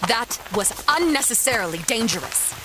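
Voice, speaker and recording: High-voiced, bossy expedition boss. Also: female voice, very animated, normal speed, noisy background. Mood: stern. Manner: clipped, formal, exasperated. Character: stern, bossy female expedition boss, stickler for protocol. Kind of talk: audio drama acting. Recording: loud cinematic music behind the voice.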